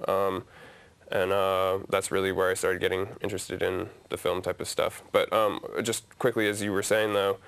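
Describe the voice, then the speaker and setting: A man's voice: low voice, fairly bright tone, clean tone. A man from a media collective talking casually in an interview, with frequent fillers and restarts. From grew up in Asia, Nepal. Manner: hesitant, many fillers and restarts.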